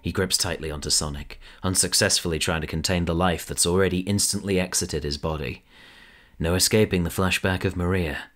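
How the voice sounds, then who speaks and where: A man narrating a fan audio drama in a steady, dramatic storytelling manner. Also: sits low.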